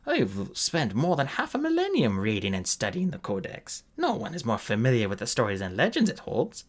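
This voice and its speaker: A man's voice: wildly swooping pitch, normal speed. A man reading aloud and voicing a fierce, ancient female warrior who talks bluntly and demandingly. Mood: insistent. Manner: blunt, demanding, rises sharply in pitch.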